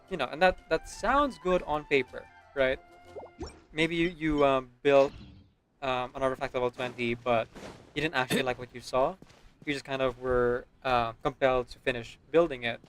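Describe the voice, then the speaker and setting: A man giving casual, rambling gaming stream commentary, often checking in with "right?" as he explains. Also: medium pitch. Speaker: video game livestreamer. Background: background music.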